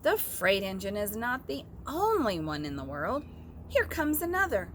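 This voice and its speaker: A woman's voice: medium pitch, very animated, moderate pace, slight background noise, close to an everyday voice. A woman voicing a small, cheerful toy clown in a storybook, eagerly calling out with hope. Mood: encouraging.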